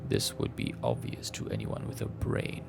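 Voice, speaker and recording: Man voicing a menacing, breathy female monster. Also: background noise, close to an everyday voice. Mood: scornful. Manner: slow, haughty, formal speech. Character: menacing female forest monster, contemptuous of humans. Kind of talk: visual novel character voice.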